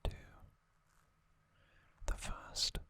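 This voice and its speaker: Slow, whispered ASMR host. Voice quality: whispered, breathy, unvoiced. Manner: slow, hushed, deliberate with pauses. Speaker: host of an ASMR trivia channel.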